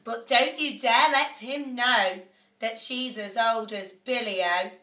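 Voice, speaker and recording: Lady doing a shrill bossy mum yelling, bright, roomy sound. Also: slow. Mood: scolding. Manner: loud, scolding outbursts. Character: bossy, scolding middle-aged mother. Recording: echoey room, off-mic.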